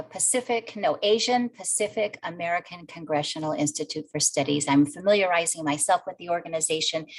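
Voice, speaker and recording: A woman's voice: fairly low pitch, rough recording. A woman moderating an interview over a video call, friendly but hesitant, often correcting herself. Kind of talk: conversational interview.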